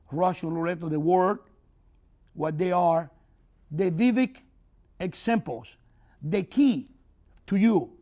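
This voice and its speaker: Older guy preaching hard, highish voice, slow deliberate talk, loud then hushed. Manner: earnest, preaching, with swings in loudness and simple phrasing. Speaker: author addressing an audience. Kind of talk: closing speech.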